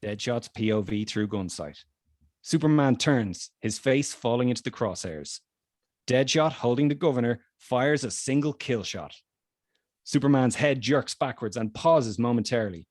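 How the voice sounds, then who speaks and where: A man's voice: medium pitch, expressive, normal speed, a little mellow, no echo, studio quality. A man reading screenplay stage directions aloud as narrator in a scripted table read.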